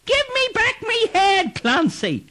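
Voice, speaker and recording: A man voicing the ghost of a headless dead Irishman with a high, drawn-out wail. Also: wildly swooping pitch, sharp tone, heavily acted. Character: dead man's ghost, headless, mournful and eerie.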